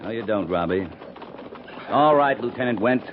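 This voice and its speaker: Actor playing a firm cavalry captain, swooping intonation. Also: male voice, lowish voice, animated, normal speed, close to an everyday voice. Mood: stern, quiet. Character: commanding cavalry captain, firm, level-headed officer. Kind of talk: 1950s radio drama acting. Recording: noisy, muffled 1950s radio recording.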